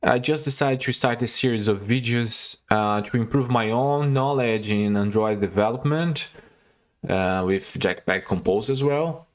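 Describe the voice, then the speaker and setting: A man's voice: mid-range voice, measured pace. A young man from Brazil with a Brazilian Portuguese accent, casually walking through a coding tutorial with frequent fillers and restarts. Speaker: tutorial host.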